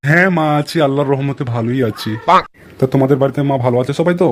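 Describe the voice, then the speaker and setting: A man's voice: medium pitch, racing, a little hiss or hum, natural voice. A man voicing an old father-in-law in a dubbed cartoon, speaking in a coaxing, wheedling way over music. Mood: bossy. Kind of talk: cartoon dubbing. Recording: clear music behind.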